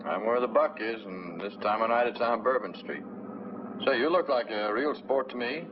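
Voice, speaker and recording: A streetwise hustler of a cab driver, played by a male actor in a 1950s film. His talk is slangy and his pitch sits mid-range. The old soundtrack is muffled, with noticeable noise. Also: moderate pace, lightly acted. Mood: chummy, pushy. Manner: slangy, chummy sales patter. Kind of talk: 1950s American film acting.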